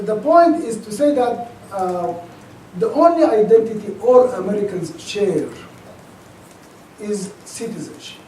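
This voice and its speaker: A man from Sudan with a Sudanese accent, giving an academic lecture in a thoughtful, halting way full of restarts. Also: high voice, mellow, a little hiss or hum. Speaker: guest lecturer. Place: lecture auditorium. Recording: echoey auditorium, mic at a distance.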